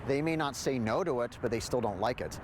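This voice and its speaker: A man's voice: mid-range voice, animated, brisk. A man with an American accent, an outreach worker speaking emphatically outdoors on a city street. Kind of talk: on-the-street interview and commentary. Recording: noisy outdoor urban street, traffic.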